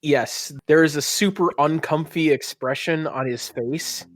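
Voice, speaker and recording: A man's voice: a little high, a little slow, dry recording. A man narrating a tabletop game as the game master.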